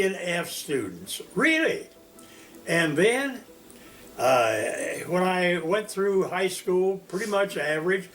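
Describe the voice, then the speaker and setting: A man of one hundred from Indiana, recalling his life as a teacher in a slow, storytelling interview. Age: 100s. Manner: slow, storytelling, animated pitch swings. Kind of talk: reminiscing interview.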